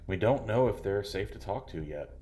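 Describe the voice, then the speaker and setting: A man's voice: on the low side, lively intonation, moderate pace, warm tone, slight background noise, close to an everyday voice. An actor playing a grave, cautious man from a secretive group in an audio drama. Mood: worried, solemn. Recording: music under the voice.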